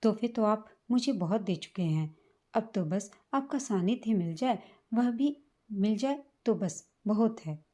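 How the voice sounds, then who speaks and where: A woman voicing a frail, self-effacing older wife in an emotional story, gentle, weary and subdued. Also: medium pitch, animated, a little slow. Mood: wistful.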